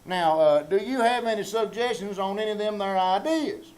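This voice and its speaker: Man as a high-voiced, self-important country fellow. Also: normal speed, darkish tone, lightly acted. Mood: officious. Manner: pompous, rustic malapropisms, exasperated. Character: self-important, exasperated small-town country organizer. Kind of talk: live old-time radio comedy reenactment. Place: small-town theater stage, live performance. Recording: live theater stage, faint noise.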